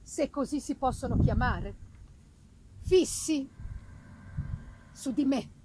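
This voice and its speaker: High-pitched woman reading Gothic fiction theatrically. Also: slow, background noise. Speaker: reader performing a literary text. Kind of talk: dramatic audiobook-style reading of a Gothic novel.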